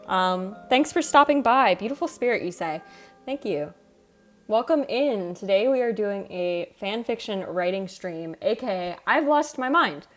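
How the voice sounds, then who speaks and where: A young adult woman livestreamer chatting casually with her viewers in a self-deprecating way. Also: medium pitch. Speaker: live streamer. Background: soft video game music.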